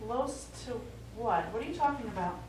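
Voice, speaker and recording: Distant and echoey on a theatre stage, a woman plays a worried middle-aged daughter. Her voice is fairly high as she pleads with her old father. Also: expressive, moderate pace, close to an everyday voice. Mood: questioning. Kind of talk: live stage play acting. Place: small indoor theatre stage. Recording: echoey theatre, distant mic, faint noise.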